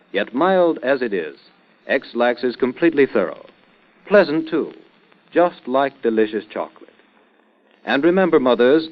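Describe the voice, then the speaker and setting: A male announcer with very lively intonation and a polished, emphatic pitchman's delivery. It is 1930s radio style. The recording is dull, with music clearly behind.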